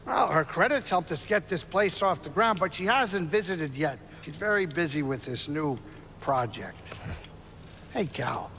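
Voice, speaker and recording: Animated, high-voiced gruff little alien saloon owner. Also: male voice, unhurried, heavily acted. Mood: fond, concerned. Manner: animated, fussing, excitable, blustery. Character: small four-armed hairy alien saloon owner, gruff but warm. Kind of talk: video game cutscene acting. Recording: long hall reverb, faint background noise.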